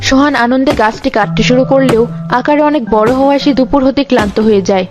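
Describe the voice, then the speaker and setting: A woman's voice: high-pitched, quick pace, loud music behind the voice. A woman narrator reading a scripted fairy tale as storyteller.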